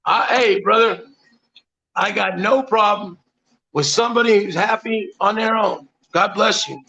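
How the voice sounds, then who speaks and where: Gravelly high-voiced man in his seventies. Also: unhurried, sharp tone. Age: 70s. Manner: blunt, folksy, emphatic and repetitive. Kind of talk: casual chat. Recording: dull lo-fi sound with faint noise.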